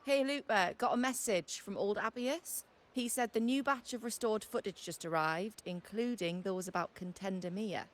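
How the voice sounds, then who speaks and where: Game role: shrewd tavern boss played by a woman, brisk, wry and no-nonsense, medium-pitched female voice. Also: natural voice. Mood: casual. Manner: blunt, wry, familiar. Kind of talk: video game character acting.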